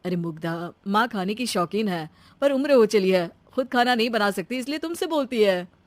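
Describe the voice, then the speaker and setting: A woman's voice: mid-range voice, lively intonation, normal speed, no room sound, close to an everyday voice. A woman voicing a reasonable, teasing young husband in a cartoon story. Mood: reproachful, teasing.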